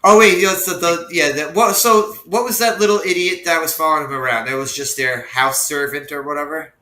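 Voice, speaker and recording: Casual male host, a touch raspy, bright and slightly high, loose banter, echoey room. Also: fairly even intonation, a little fast. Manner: laughing, loose and profane. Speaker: podcast host. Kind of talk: casual group discussion. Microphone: video call.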